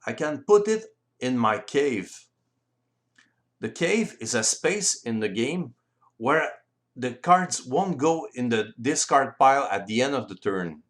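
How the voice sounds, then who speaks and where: A man with a French accent, a native French speaker, giving a careful, deliberate tutorial voice-over on board game rules in non-native English. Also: medium pitch, sing-song, a bit bright.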